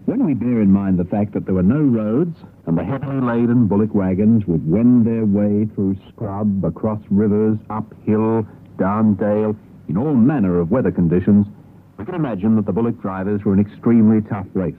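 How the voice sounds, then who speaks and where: A man's voice: fairly low pitch, normal speed. An Australian man presenting a radio programme, reading a scripted narration about folk songs. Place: radio broadcast. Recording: narrowband, noisy 1960s shortwave radio broadcast.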